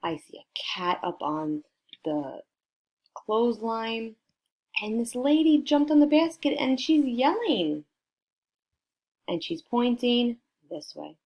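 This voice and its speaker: A woman reading a picture book aloud to children in an expressive way, prompting her listeners with questions. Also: on the high side, slow, long pauses, breathy edge, lo-fi sound. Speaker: storybook reader for children.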